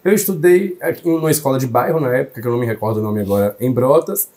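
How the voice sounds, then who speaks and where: A man's voice: mid-range voice, fast, a little mellow. A young man from Salvador, a journalist guest telling his story fluently and reflectively in an interview. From Salvador, Bahia, Brazil. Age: young adult. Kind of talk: interview conversation.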